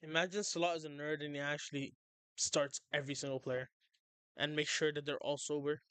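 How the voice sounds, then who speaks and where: An excitable young man chatting on a livestream, rambling and stumbling over his words. His voice sits a little high and comes through smooth and clear. The sound is dry and close. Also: a little fast, dry recording. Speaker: streamer. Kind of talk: casual livestream chat.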